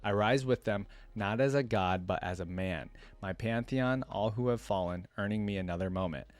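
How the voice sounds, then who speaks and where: Male reaction host, lowish voice, informal and colloquial delivery between storytelling and off-the-cuff commentary, very little room sound. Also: normal speed, dry recording. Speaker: reaction video host reading a story aloud. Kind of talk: reading a fantasy story aloud with casual off-the-cuff commentary.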